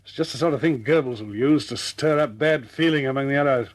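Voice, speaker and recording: A man acting in a radio drama as a senior British air force commander, measured and businesslike, with a clipped, understated delivery and a British accent. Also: medium pitch, close to an everyday voice. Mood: grave, businesslike. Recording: noisy old radio recording with clicks.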